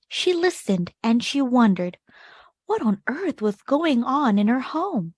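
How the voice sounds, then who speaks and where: Higher-voiced woman reading a children's storybook. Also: darkish tone, dry recording, studio quality. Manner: storytelling, emphatic key words. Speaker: children's read-aloud host. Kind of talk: expressive picture-book reading with short asides to young listeners.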